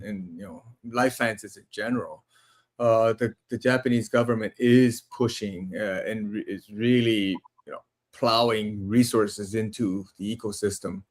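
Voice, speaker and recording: A man hosting a podcast interview, enthusiastic and repeating words as he starts his sentences. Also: on the low side. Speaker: podcast host. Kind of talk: casual interview talk.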